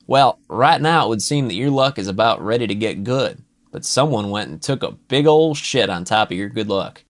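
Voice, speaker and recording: A man voicing a rowdy, profane male work buddy who talks loud and pushy. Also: fairly low pitch, lively intonation, normal speed, natural voice. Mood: coaxing.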